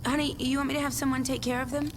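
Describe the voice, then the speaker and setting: A woman's voice: high voice, flat delivery, rapid-fire, lightly acted. An actress playing a young, callous gangster's girlfriend with a sugary, mocking manner in a video game cutscene. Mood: coolly soothing. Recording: loud background noise.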